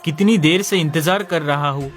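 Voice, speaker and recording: Man playing an earnest, higher-voiced village shepherd. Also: normal speed, a bit bright, lightly acted. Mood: worried. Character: plain-spoken, trusting village shepherd, easily upset. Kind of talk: Hindi animated moral story dialogue. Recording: music under the voice.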